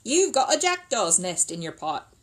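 A dry, knowing builder from a children's story, played by a woman reader. He makes emphatic pronouncements in a raised voice with lively pitch. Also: normal speed.

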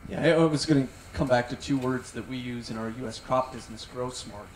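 A man's voice: mid-range voice, brisk. A middle-aged man, a marketing director, speaking in a polished corporate way on a panel into a handheld mic in a tent. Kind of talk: panel discussion. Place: large tent at an outdoor event. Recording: roomy sound from some distance, faint noise.

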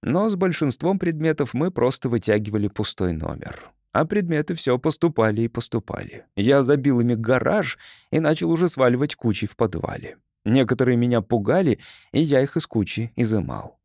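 Expressive male audiobook narrator, lively pitch. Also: brisk, no echo, clean studio sound.

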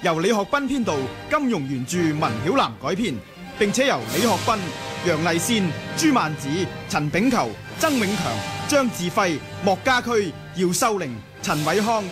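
A male radio announcer briskly reading out broadcast credits in a formal manner. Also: high voice, very animated. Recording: old radio broadcast with music behind.

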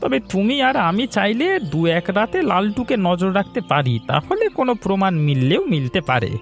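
A man's voice: high-pitched, very animated, brisk, soft background music. A man voicing a loyal, cautious village friend in a cartoon, earnest and respectful. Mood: confiding. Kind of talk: dramatic cartoon dialogue.

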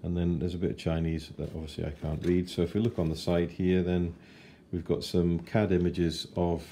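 A man in his forties giving a chatty, unhurried model kit review at his kitchen table. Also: low-pitched, little pitch movement, dark tone, a little hiss or hum. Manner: chatty and unhurried, with fillers and self-corrections. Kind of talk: casual unboxing review.

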